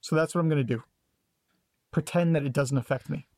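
A man's voice: a little high, fairly quick, darkish tone, dry recording. Man acting guarded grandson, sarcastic, detached, dry hesitant delivery, audio drama, close dry sound. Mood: bitter, detached.

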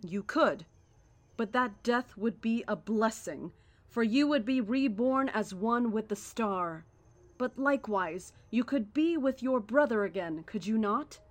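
A woman playing a calm, zealous cult leader in a horror audio drama, speaking in a measured, formal, persuasive way. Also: a little high. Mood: coaxing, zealous.

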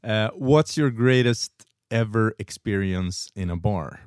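A Swedish man hosts a relaxed interview and speaks at an unhurried pace. His voice is deep, and his questions come with plenty of fillers and restarts. The sound is dry and close to the mic. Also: clear, resonant tone, no echo. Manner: easygoing, hesitant questions full of fillers. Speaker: podcast host. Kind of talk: casual interview.

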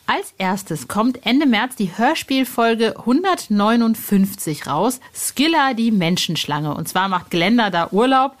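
A woman's voice: a little high, lively intonation, measured pace, few pauses. A woman hosting a podcast in an informal, chatty, colloquial way with bubbly energy. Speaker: podcast host. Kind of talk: casual chatty podcast presenting.

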